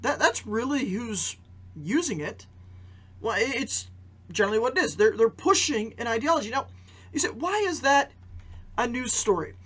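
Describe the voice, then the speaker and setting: A man's voice: very high voice, lively intonation, normal speed, a bit bright, slightly rough. A man giving conversational news commentary as a podcast host, posing rhetorical questions and repeating phrases for emphasis. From Canada.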